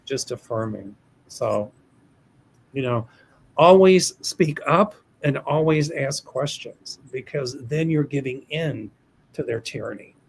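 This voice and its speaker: A man's voice: mid-range voice, expressive, slow pace, slightly rough, poor audio. An older American man hosting a livestream as a psychic, reading viewer questions in a hesitant, rambling way with many restarts. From United States. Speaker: psychic host of a livestream show.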